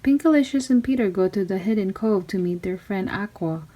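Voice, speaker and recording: A woman narrating a children's storybook aloud in expressive, clearly separated phrases. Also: mid-range voice, fairly quick. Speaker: storybook reader.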